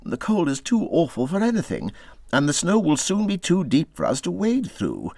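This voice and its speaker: A man's voice: on the high side, huge pitch swings, moderate pace, vocal fry, a little mellow, dry recording, pitched above the performer's own voice, full character voice. A man voicing a kindly, practical water rat in a storybook reading, with a considered and reassuring manner. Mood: resolute. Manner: considered, reassuring, matter-of-fact. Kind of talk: storybook character voice in audiobook reading.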